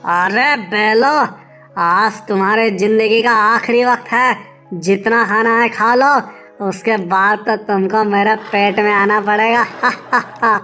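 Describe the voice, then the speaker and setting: Woman playing a slow, boastful lion king. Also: medium pitch, fairly even intonation, sharp tone, soft background music. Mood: boastful menace. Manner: boastful, with villainous laughs. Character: proud, boastful male lion king of the jungle.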